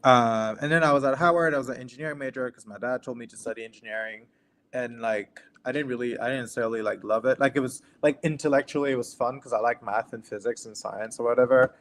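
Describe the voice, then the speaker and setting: A man's voice: medium pitch, fast, a bit bright. A young man from Cameroon speaking as a physician guest in an interview, telling his story in an informal, conversational way. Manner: informal, conversational, often says "like" and "right?". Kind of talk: casual personal storytelling in an interview.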